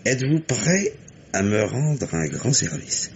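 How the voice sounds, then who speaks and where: An actor playing a blunt, formal industrialist father in his fifties, confiding deliberately in an old-style French radio drama. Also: medium pitch, sing-song, darkish tone, muffled recording, slight background noise. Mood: blunt, businesslike. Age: 50s. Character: fifty-five-year-old industrialist father, positive, discreet, blunt.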